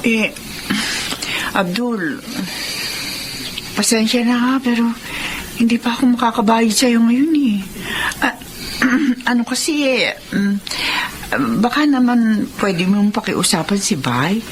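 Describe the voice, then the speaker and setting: A woman's voice: a little high, takes it slowly, pauses often, a little hiss or hum. An actress playing a greedy, domineering, debt-ridden mother in a Filipino melodrama, with a Filipino accent. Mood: sheepish, worried. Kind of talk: Filipino radio-style melodrama acting.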